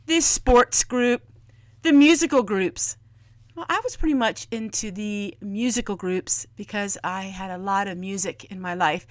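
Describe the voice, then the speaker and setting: An American woman reflects aloud to her online audience in a fairly high voice. She is earnest and a little preachy, leaning on rhetorical questions. The recording is dry, with very little room sound. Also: a bit bright, dry recording. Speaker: vlogger. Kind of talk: personal reflective monologue to viewers.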